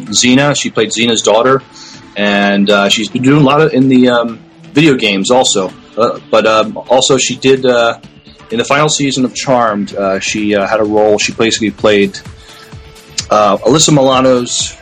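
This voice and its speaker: Hesitant male podcast co-host over soft music. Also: mid-range voice, moderate pace. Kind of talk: casual podcast chat.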